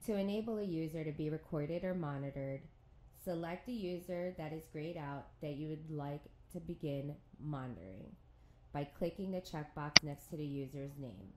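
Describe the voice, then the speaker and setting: A woman reading scripted instructions for a software tutorial in a measured, neutral, step-by-step way. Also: deep voice, a little hiss or hum, poor audio.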